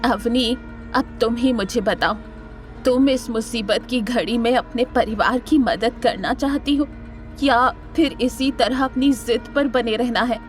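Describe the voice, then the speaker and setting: A woman's voice: on the high side, a little slow, faint music behind, natural voice. A woman playing an imperious, scheming elderly family matriarch with a commanding manner in an audio drama. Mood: pressing. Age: older. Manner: scolding, commanding. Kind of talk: Hindi audio drama acting.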